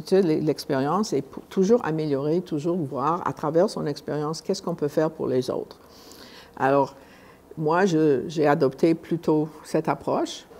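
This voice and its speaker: Low, mellow older woman lecturing conversationally. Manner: halting, conversational, often repeats and rephrases words. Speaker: guest speaker at a conference. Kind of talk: informal public lecture.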